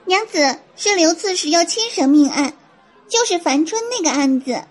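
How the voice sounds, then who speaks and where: A woman's voice: very high voice, lively intonation, moderate pace. A woman playing a young, eager maidservant who reports deferentially to her mistress in an audio drama. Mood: eager, hushed urgency. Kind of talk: audio drama character acting.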